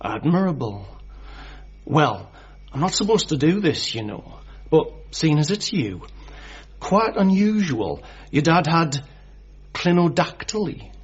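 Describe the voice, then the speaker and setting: Morbid, pedantic mortuary oddball for radio comedy: high, swooping delivery, creepy formality. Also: male voice, very animated, slow pace, breathy edge, highs cut off, exaggerated voice. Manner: pedantic, earnest, oddly formal way of talking. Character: creepy, morbid male pathologist who befriends corpses. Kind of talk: radio comedy drama acting.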